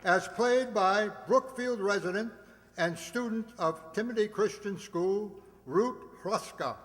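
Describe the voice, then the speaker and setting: High-voiced man announcing in a reverberant hall. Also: takes it slowly, a bit bright. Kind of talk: live cast announcement to an audience. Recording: reverberant hall, audience noise.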